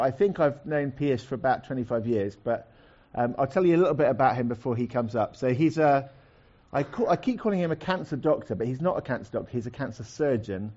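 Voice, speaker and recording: A man hosting a chat-show-style live event, introducing and interviewing a guest with chatty, halting phrasing. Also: mid-range voice, warm tone, muffled recording. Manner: chatty, halting, many restarts. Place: stage before a live audience.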